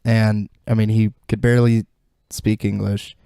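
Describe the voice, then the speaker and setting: A man with a gravelly, dark, relaxed voice chats as a podcast co-host. His phrasing is loose and slangy, with some swearing, and the recording is dry. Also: lowish voice, unhurried, no room sound. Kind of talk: casual chat.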